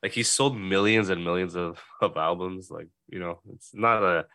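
Low-voiced, easygoing Canadian woman co-host. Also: fairly bright tone, no echo. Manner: easygoing, laughs often, short quick replies. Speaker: podcast co-host. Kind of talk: casual chat.

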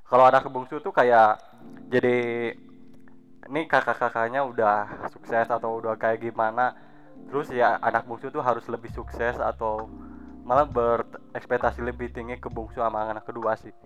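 A young man co-hosting a casual podcast chat, relaxed and reflective. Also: mid-range voice, normal speed. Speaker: podcast co-host. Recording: dull lo-fi podcast with faint music.

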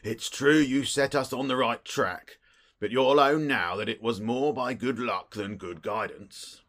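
A man voicing a stout, self-important middle-aged police detective in an audiobook, with a muffled, husky, wheezy voice and blustering, clipped phrases. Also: medium pitch, unhurried, a bit bright, pitched above the performer's own voice. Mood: blustering surprise. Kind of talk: audiobook character voice.

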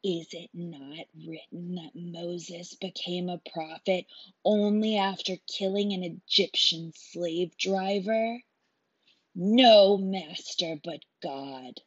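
A woman voicing a cold, fervent male assassin who talks in a low, menacing hiss. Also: slow pace, slightly rough, no echo, lo-fi sound.

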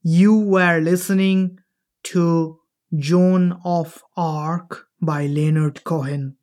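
A man hosting a podcast, introducing his guest and co-host in a quick, run-on way with some fillers. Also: high-pitched, darkish tone.

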